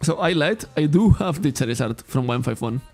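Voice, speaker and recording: A man's voice: fairly high pitch, lively intonation, brisk, darkish tone, soft background music. A man from Spain, a native Catalan speaker with a Catalan accent in English, chatting casually on a card shop livestream, rambling, correcting himself and laughing often. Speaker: trading card shop streamer.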